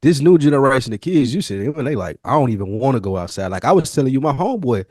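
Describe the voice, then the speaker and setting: A man chats as a podcast co-host in loose slang, swearing freely and telling stories with firm opinions. His pitch is average for a man and the sound is dry, with very little room on it. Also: sing-song, very fast, a little mellow, dry recording. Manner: slangy, profane, opinionated storytelling. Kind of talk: casual podcast chat.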